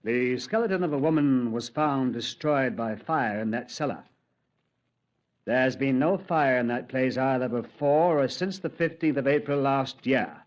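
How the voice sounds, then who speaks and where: An actor playing a calm, authoritative senior police commander who also narrates a 1950s radio drama, with measured, clipped questions. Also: male voice, medium pitch, close to an everyday voice. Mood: stern. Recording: dull old radio recording.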